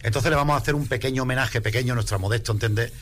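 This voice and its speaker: A man's voice: medium pitch, very fast, background noise. A man from Spain with a Spanish accent, a singer giving a casual radio interview in an emphatic way, repeating his phrases. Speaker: singer in a rumba band.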